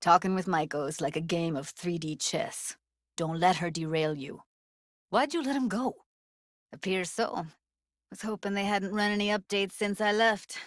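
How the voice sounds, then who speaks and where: A woman's voice: on the low side, normal speed, no echo, clean studio sound, lightly acted. A woman voicing a young, streetwise techie in a video game, blunt and guarded with tenderness underneath, speaking in casual, clipped slang. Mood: wry, suspicious. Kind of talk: naturalistic video game voice acting.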